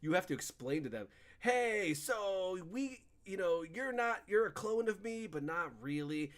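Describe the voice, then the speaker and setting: A man's voice: high voice, lively intonation, measured pace. A man co-hosting a podcast, chatting casually about video games in a rambling, slangy bro style and acting out bits of dialogue. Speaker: podcast co-host.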